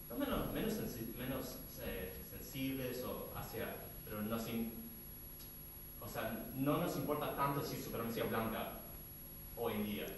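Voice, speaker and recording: Man, non-native speaker, hesitant and restarting, mid pitch, distant in echoey hall. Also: noisy background. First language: not Spanish. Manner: hesitant, searching for words, many restarts. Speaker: audience member asking a question. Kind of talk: casual spoken question at a panel. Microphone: distant room mic.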